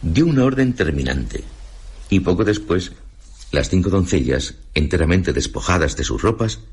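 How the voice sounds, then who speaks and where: A man with a Castilian Spanish accent narrating a literary radio drama in a solemn, measured storytelling manner. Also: low voice, big pitch swings, slightly rough. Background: prominent music.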